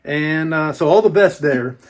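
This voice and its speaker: A man's voice: fairly high pitch, measured pace. A man chatting casually through an unboxing as a book collector, in a folksy, rambling, informal way.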